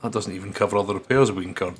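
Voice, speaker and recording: A man's voice: on the low side, very fast. A man co-hosting a let's play, casually reading game text aloud with laid-back, joking asides. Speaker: co-host partner on a gaming channel. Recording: faint background noise and ambience. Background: faint noise, ambience.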